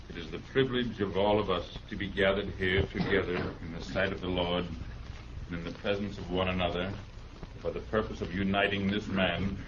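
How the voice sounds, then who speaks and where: An actor playing a long-winded preacher in an old radio Western, ponderously orating a wedding sermon. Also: male voice, low voice, close to an everyday voice. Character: solemn, long-winded frontier preacher, a man. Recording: dull, noisy vintage radio recording.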